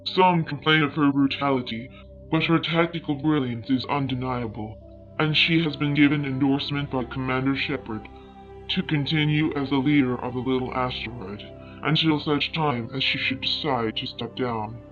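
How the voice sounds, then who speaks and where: A thin, phone-like transmission over music carries the voice of a formal alien chronicler played by a man. He reports galactic affairs in measured, declarative phrases at a medium pitch, with a hopeful outlook suited to a sci-fi audio story. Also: natural voice. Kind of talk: scripted epilogue narration in sci-fi audio fiction.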